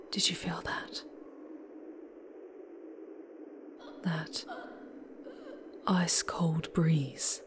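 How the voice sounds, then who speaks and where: A woman playing a tender, sorrowful female demon guide in a breathy, whispered ASMR roleplay, with dramatic pauses. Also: deep voice, steady tone. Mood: gentle, awed. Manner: slow, hushed, dramatic pauses.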